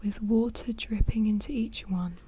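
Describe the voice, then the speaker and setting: A woman reading a children's storybook aloud in a soft, breathy whisper, with hushed asides to the listener. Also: mid-range voice, fairly even intonation, normal speed, a little hiss or hum. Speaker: storybook reader.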